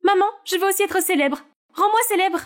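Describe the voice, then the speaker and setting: A woman's voice: very high-pitched, fairly quick, voice pushed up. A woman voicing a bubbly, spoiled younger sister who talks in an eager, chirpy way. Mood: pleading.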